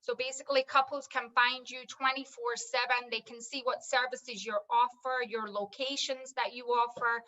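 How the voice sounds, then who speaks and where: A woman's voice: a little high, level tone, moderate pace, hardly any pauses, pushed and bright, poor audio. A businesswoman from Scotland with a Scottish accent, speaking fluently and listing services one by one in an online panel discussion. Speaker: wedding software business owner.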